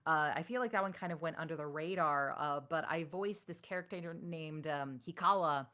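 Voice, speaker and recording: Woman voice actor giving frank tips, bright voice, lots of energy. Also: moderate pace. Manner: animated, acts out quoted people in higher voice. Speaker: voice actress guest. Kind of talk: casual interview chat.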